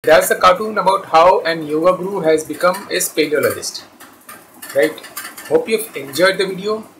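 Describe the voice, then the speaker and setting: Bright, higher-pitched man presenting. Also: normal speed, a little hiss or hum, rough recording. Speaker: host, cartoonist and illustrator. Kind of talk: scripted-style video introduction to camera. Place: small plain room indoors.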